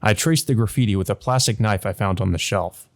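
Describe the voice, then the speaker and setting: A man's voice: on the low side, a little fast. A man narrating a visual novel as a let's play host, reading aloud and adding hesitant commentary of his own.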